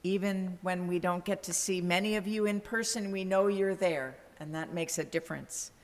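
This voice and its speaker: Woman w a lowish voice doing church closing remarks, long echoey reverb. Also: moderate pace. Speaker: church staff member closing the service. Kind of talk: scripted closing remarks to a congregation.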